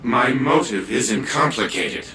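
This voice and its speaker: An actor playing a haughty, condescending old genius patriarch in a video game, theatrical and sardonic. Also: male voice, medium pitch, animated, takes it slowly, sharp tone, a little hiss or hum, poor audio. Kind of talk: scripted video game acting.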